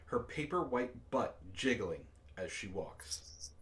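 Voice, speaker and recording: A man reading a story aloud to friends over voice chat, deadpan in the narration and laughing often between lines. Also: mid-range voice, slow. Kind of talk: casual group reading and chat.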